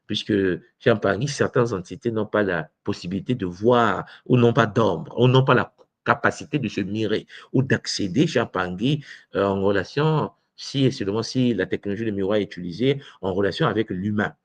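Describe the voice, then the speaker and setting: A man's voice: on the low side, a little fast, no echo. A man of Congolese origin teaching his followers in a spiritual lecture, often hesitating and stopping to check that listeners follow. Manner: frequent hesitations and rhetorical check-ins.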